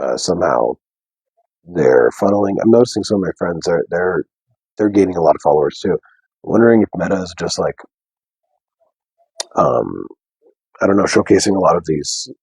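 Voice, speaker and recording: A young man with a deep bass voice, talking softly and casually to viewers on a live stream. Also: husky, dry recording, poor audio. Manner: soft, unprojected speech. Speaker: livestream host. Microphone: wearing a mic.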